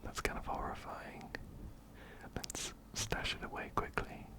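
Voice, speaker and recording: Male ASMR game host, hushed whisper throughout, unhurried delivery, soft repeated phrases, close ear-to-ear miking. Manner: gentle, repeats phrases softly. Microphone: close binaural microphone, ear to ear.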